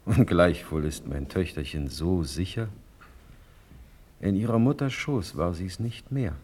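A man's voice: low-pitched, a little slow, darkish tone, slightly rough. An actor playing a commanding yet fatherly Prussian sovereign in a classical German radio play, with stately, declamatory speech.

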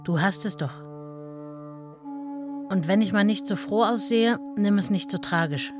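A woman's voice: mid-range voice, unhurried, music in the background, close to an everyday voice. An actress playing a devoted, modest young working-class wife telling her story in a radio play. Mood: tender, earnest.

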